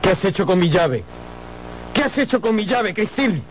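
A possessive, obsessive captor in a radio drama. His high voice swings widely as he coaxes and taunts with mock tenderness. There is faint noise behind him. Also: big pitch swings, moderate pace. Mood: suspicious. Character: menacing, possessive masked male captor, obsessive and sly.

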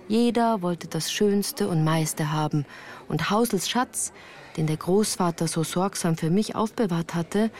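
A woman playing a melancholy narrator who recounts her hard youth in a measured, earnest radio drama style. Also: on the low side, a little mellow, lightly acted. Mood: somber, measured.

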